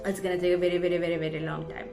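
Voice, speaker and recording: A woman's voice: on the low side, level tone, very fast, vocal fry. A young South African woman chatting casually to her viewers as a vlogger, rambling along in an effusive, gushing way. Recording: roomy indoor echo, music underneath.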